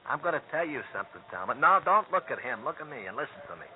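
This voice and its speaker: A man's voice: on the high side, big pitch swings, fast. A man playing a cocky, grudge-nursing small-time hoodlum in an American radio crime drama, with a sarcastic, slangy, falsely chummy manner and an American accent. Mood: menacing. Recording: tinny phone-like old radio recording, hissy.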